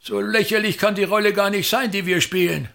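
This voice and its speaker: A man's voice: sits high, fairly even intonation, fairly quick, creaky, fairly bright tone. An actor playing a pompous, contemptuous old male theater director in a radio drama, with an Austrian accent and a declamatory, scornful way of talking.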